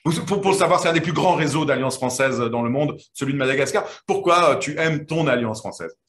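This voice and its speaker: A man's voice: fairly high pitch, a little fast, fairly bright tone, a little hiss or hum. A man hosting an online quiz final, announcing winners and interviewing them with hesitant, restarting speech. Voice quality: hesitant, restarting, many fillers. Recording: echoey room.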